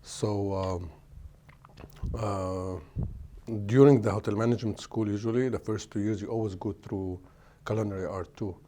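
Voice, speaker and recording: A middle-aged man, an executive chef answering interview questions in a halting way, often restarting as he searches for words. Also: fairly low pitch, steady tone, mellow, slight background noise. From Lebanon.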